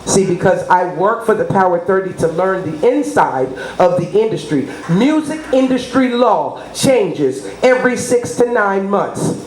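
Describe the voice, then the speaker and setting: A woman's voice: medium pitch, expressive, a little slow, hardly any pauses, a little mellow. A middle-aged woman giving promotional interview talk as a radio personality, emphatic and boastful, often checking that the listener understands. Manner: emphatic, boastful, frequent rhetorical check-ins. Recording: roomy echo with clear background noise.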